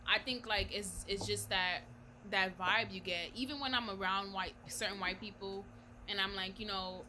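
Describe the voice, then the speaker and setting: A young woman co-hosting a podcast, with a high-pitched voice, talking frankly and laughing often between restarts. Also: fairly even intonation, moderate pace, pushed and bright. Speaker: podcast co-host. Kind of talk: casual chat. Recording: virtual call, faint background noise.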